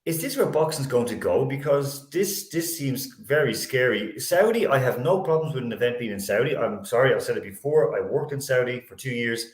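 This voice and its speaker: A man hosting a sports panel discussion, speaking in halting, stop-start phrases with repeated words. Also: medium pitch, lively intonation. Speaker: sports show host. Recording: roomy, echoey sound.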